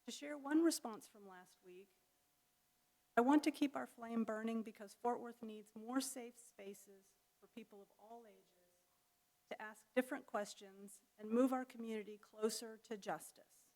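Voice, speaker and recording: Female congregation speaker, fairly high voice, prepared church address. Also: normal speed, darkish tone, low-quality recording.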